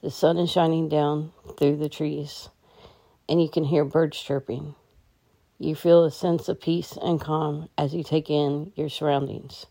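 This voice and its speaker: Low, mellow-voiced woman guiding meditation. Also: measured pace, vocal fry. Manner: calm, gentle, instructional. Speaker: meditation guide. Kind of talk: guided meditation.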